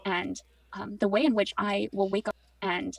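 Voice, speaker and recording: A woman's voice: medium pitch, level tone, normal speed, creaky, far from the mic, crowd noise. A woman co-hosting a podcast, reflecting earnestly and with feeling on an interview, sometimes repeating phrases. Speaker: podcast co-host. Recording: podcast audio played back in editor.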